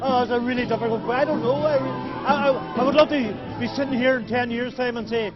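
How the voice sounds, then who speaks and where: A man's voice: very high-pitched, steady tone, fairly quick, muffled recording, music in the background, a crowd in the background. A man who helps run a cafe, talking hesitantly about its future in an interview. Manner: hesitant then listing plans. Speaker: cafe organiser. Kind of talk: documentary interview.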